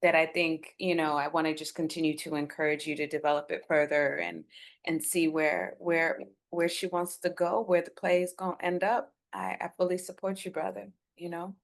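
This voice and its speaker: A woman with a notably low voice gives feedback on creative work. Her delivery is conversational and measured, with an encouraging manner. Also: little pitch movement, gravelly. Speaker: panelist giving script feedback.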